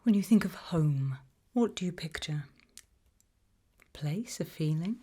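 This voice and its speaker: A very breathy, whispering British woman, acting as a calm investigator in an ASMR interview roleplay. Her voice is low and mellow, and she puts gentle, probing questions with measured pauses. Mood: soft, probing.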